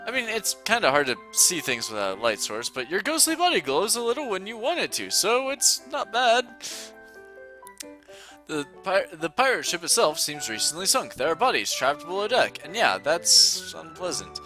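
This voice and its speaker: A man narrates a text adventure for a friend in a loose, joking playthrough, laughing as he goes. His voice is bright and forceful, and his pitch jumps around animatedly. Faint music plays behind him. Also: very animated, moderate pace. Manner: playful, laughs often while reading. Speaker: host reading a text adventure aloud.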